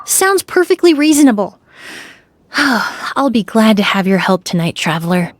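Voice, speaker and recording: An actress playing a composed, analytical young woman in an anime game, speaking in a measured, articulate, thoughtful way. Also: on the high side, very animated, no room sound. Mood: calm, obliging. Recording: music behind the voice.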